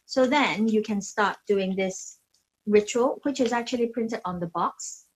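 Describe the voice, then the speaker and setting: A woman's voice: medium pitch, unhurried, husky. Hesitant woman demonstrating beauty tools. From Hong Kong. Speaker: beauty brand founder and facialist giving a demo. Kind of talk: casual product demonstration and explanation.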